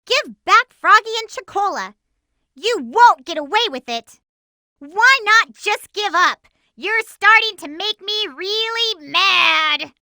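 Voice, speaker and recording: A woman voicing an energetic, determined young girl heroine in a video game, with a perky, emphatic cartoon delivery. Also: very high voice, takes it slowly, no room sound, clean studio sound, full character voice. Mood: feisty, indignant. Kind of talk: video game voice acting, tips and battle shouts.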